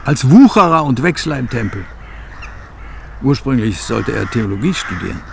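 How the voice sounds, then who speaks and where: A man's voice: mid-range voice, big pitch swings, normal speed, creaky, noisy background. A man acting in a radio drama as an embittered scholar, a preacher's son, reminiscing with sardonic, theatrical mockery. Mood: wry, reflective. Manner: sardonic, theatrical, quotes others mockingly. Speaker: interviewee.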